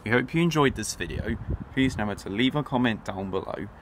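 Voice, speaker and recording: A man's voice: mid-range voice, expressive, fast. A young man chatting eagerly to his viewers as he vlogs trains from a railway platform, filming on a phone at arm's length. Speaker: trainspotting vlogger. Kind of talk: casual vlog commentary. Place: outdoors on a railway station platform. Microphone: phone camera held at arm's length. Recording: faint outdoor background noise. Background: faint outdoor traffic and station ambience.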